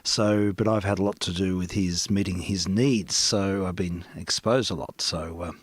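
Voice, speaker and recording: A man's voice: sits low, steady tone, moderate pace, husky, dry recording. A man hosting a casual amateur radio chat, rambling and easygoing with plenty of fillers.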